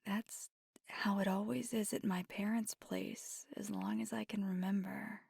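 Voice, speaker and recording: Woman acting as a flirty vampire gf asmr, soft and whispery, slow w lots of vocal fry, playful n affectionate. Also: mid-range voice, little pitch movement, no room sound, clean studio sound, heavily acted. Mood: earnest, wistful.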